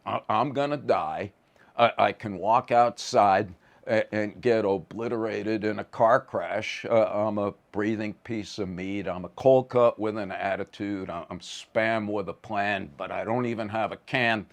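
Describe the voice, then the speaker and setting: A man's voice: lowish voice, a little slow. A man giving a conversational lecture in a wry, vivid, emphatic manner, often stammering on the starts of words.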